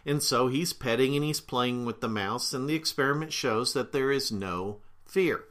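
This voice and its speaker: A middle-aged man hosting a podcast as a therapist, explaining ideas in a relaxed way while slightly congested with a cold, clearing his throat now and then. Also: medium pitch. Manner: unhurried, with fillers and frequent throat-clearing. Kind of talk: casual explanatory talk.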